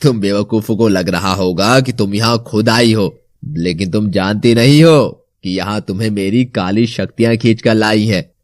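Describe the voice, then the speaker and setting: Someone voicing a gloating, deep evil cartoon sorcerer. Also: no room sound, lightly acted. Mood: menacing, triumphant. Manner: menacing, boastful, slow cackling laughter. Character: gloating evil male sorcerer scheming in a cave. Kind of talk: Hindi cartoon villain acting.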